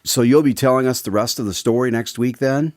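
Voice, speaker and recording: A man's voice: medium pitch, a little fast, a little mellow, no room sound, studio quality, natural voice. A man playing an eager, genial radio host in a scripted drama with credits. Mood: eager, exasperated. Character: eager, genial male radio host hearing Watson's tales. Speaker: announcer and listener host.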